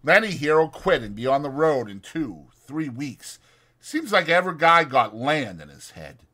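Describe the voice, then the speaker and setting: A man voicing a bitter, proud, cynical stable hand with blunt, rough rural speech for a dramatized audiobook. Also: fairly high pitch, very animated, moderate pace, pushed and bright, voice pushed up, exaggerated voice. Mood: brutal, scornful.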